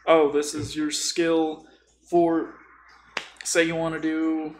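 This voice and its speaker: A man's voice: a little high, completely flat delivery, slow pace, slight background noise. A man chatting casually about tabletop gaming in a joking, informal way with some mild swearing. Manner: joking, informal, mildly profane. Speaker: podcast host.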